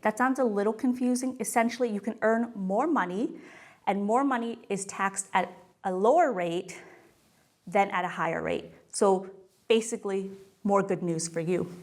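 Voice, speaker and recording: A woman in her thirties from California with an American accent, hosting a webinar and explaining things in a chatty, emphatic, reassuring way. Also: medium pitch. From California, United States. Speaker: webinar host, tax expert. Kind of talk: webinar presentation, conversational explaining. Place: office, indoors, at a desk.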